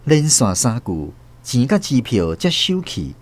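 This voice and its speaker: A man's voice: medium pitch, very animated, a little slow, no echo. A man with a Taiwanese accent reading scripted literary narration for a radio drama. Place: dry studio.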